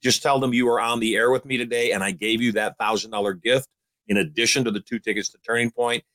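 A man's voice: mid-range voice, fairly even intonation, quick pace, sharp tone, no room sound. A man in his sixties giving upbeat, emphatic advice as a show host. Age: 60s. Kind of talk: motivational call-in advice.

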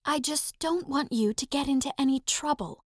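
Woman acting a soft, high-voiced 1940s film actress. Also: very animated, moderate pace, no room sound, studio quality. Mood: soft urgency. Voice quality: soft, sultry.

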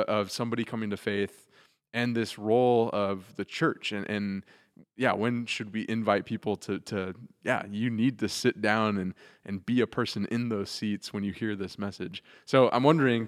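A man's voice: on the low side, long reverb tail. A man co-hosting a church podcast, asking thoughtful, rambling questions with restarts. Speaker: podcast co-host. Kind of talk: casual podcast discussion.

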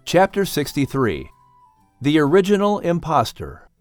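A man's voice: on the high side, huge pitch swings, a little slow, dry recording, soft background music. A man announcing and narrating a scripted story podcast.

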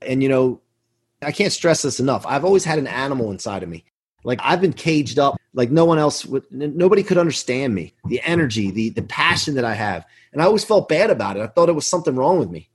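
A man's voice: mid-range voice, big pitch swings, quick pace, breathy edge. A man in his forties talking emphatically and earnestly as a self-assured podcast guest, telling motivational stories from business. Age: 40s. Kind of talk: motivational interview talk, anecdotes.

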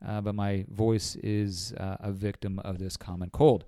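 A man's voice: fairly low pitch, little pitch movement, unhurried, warm tone, no echo, studio quality. A man in his forties with a cold, a financial advisor explaining retirement savings on a podcast in a folksy way, often calling listeners folks. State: has a cold.

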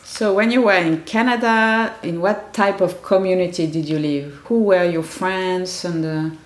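Low-voiced woman asking gentle questions. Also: steady tone, unhurried, reverberant. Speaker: interviewer. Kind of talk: oral history interview.

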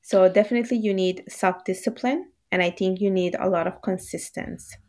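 A woman's voice: mid-range voice, brisk, a little mellow. A woman whose first language is Bengali, a guest in a casual interview, speaking thoughtfully with fillers.

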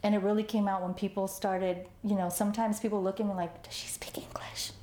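Interview guest: mellow, filler-heavy woman in a roomy space. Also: medium pitch, flat delivery, fairly quick, a little hiss or hum. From United States, Los Angeles. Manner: chatty, lots of fillers, laughs easily. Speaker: artist guest. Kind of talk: conversational interview.

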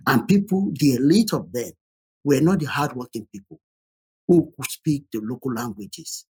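Husky African-accented man, emphatic talker. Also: mid-range voice, animated, normal speed, a little mellow. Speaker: panel discussion participant. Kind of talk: opinionated conversational discussion.

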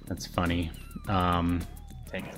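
A man with a slightly low voice speaks as a podcast co-host. He chats casually and off the cuff, with faint music playing behind him. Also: completely flat delivery, slow pace, fairly bright tone. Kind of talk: casual unscripted chat.

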